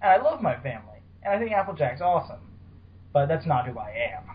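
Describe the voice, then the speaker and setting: A man's voice: on the high side, sing-song, fast talker. A man hosting a casual fan discussion podcast, talking in an informal, rambling way. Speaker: podcast host. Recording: dull, lo-fi voice call audio.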